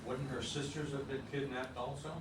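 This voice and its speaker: A man's voice: medium pitch, little pitch movement, normal speed, recorded from a distance. Skeptical man in a noisy echoey room. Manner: questioning, skeptical. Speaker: audience member giving feedback. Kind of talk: group discussion after a play reading.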